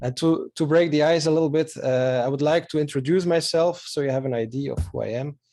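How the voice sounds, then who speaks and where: Mellow-voiced Belgian man, hesitant. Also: on the high side, brisk. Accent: Belgian Dutch. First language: Dutch. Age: 30s. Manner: hesitant, many filler sounds, friendly and earnest. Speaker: photographer giving a presentation. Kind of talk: online slideshow presentation.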